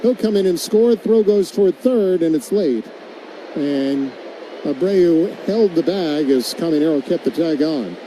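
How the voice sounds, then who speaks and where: Male play-by-play announcer, very soft warm timbre, wry, conversational, live baseball call, faint ambient noise. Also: on the high side, animated, unhurried, poor audio. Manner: wry, tongue-in-cheek.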